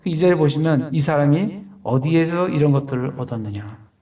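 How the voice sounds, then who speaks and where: A man's voice: fairly high pitch, expressive, brisk, warm tone, distant mic. A man preaching a sermon as pastor, explaining a passage verse by verse and asking rhetorical questions.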